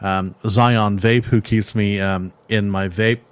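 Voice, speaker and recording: A man's voice: on the low side, fairly even intonation, slow, a little hiss or hum. A man hosting a metal radio show, reviewing songs in a rambling, joking way with many fillers, his voice starting to give out. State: losing his voice. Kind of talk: casual music review chat.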